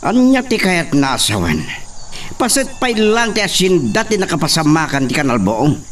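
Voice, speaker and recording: A man's voice: fairly high pitch, wildly swooping pitch, moderate pace, a bit bright. A man with an Ilocano accent playing a hot-tempered young estate master in a radio drama. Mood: irritated. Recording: slightly distant, faint noise, crickets.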